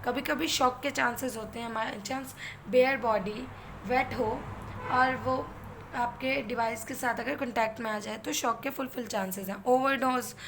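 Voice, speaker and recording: High-voiced Indian woman teaching, noisy recording. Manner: step-by-step teaching, posing questions and answering them. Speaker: physiotherapy instructor. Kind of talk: explanatory lecture mixing Hindi and English.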